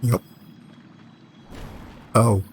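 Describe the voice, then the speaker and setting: A man live streaming a game, reading its dialogue out and reacting to it. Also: drawn-out words.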